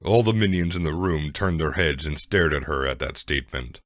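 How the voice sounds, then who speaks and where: A man's voice: rumbling low, a little fast, fairly bright tone, no room sound, clean studio sound. A man narrating a scripted story as a dramatic reading.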